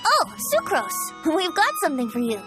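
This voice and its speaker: A carefree young male bard in a video game. The voice is high and lilting with lively swings, playful and grandiose, over clear background music. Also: normal speed. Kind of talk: video game character acting.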